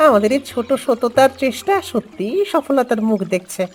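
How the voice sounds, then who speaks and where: A woman voicing a humble, grateful ageing village father who runs a food stall in an animated story. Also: fairly high pitch, moderate pace, natural voice. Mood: grateful, heartfelt. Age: older. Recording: voice over background music.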